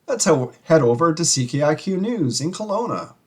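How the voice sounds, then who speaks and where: A man with a husky voice delivering scripted radio-style commentary as a show host. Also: a little high, sing-song, normal speed, darkish tone.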